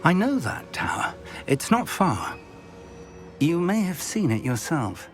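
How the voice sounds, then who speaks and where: An actor playing an older wizard mentor in a fantasy game, cautious and caring, with a husky voice and a deliberate, well-spoken manner. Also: male voice, on the high side, very animated, soft background music. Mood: protective. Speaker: mentor professor. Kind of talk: scripted fantasy game acting.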